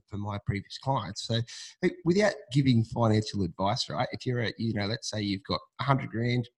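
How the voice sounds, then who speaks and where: A man's voice: on the low side, slightly rough, rough recording. A man hosting a podcast, asking rambling, chatty questions full of restarts. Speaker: podcast host. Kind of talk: casual interview talk.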